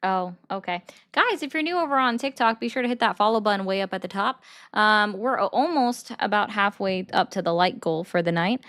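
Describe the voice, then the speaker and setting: A woman's voice: mid-range voice, lively intonation, fairly bright tone, clean tone. A woman hosting a political call-in livestream, arguing bluntly with callers and mocking them. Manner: blunt, argumentative, quick to mock. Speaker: livestream host taking callers.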